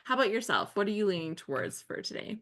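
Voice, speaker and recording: A woman's voice: mid-range voice, big pitch swings, fast talker, fairly bright tone. A woman co-hosting a live scrapbooking stream, chatting casually and laughing often while she works. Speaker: co-host of a live scrapbooking crop. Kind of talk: casual chat while crafting.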